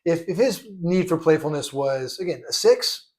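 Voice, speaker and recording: A man's voice: fairly high pitch, animated, moderate pace, a little mellow. A man co-hosting a casual chat, earnestly clarifying points and often restarting his sentences. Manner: earnest, stresses being clear, restarts often.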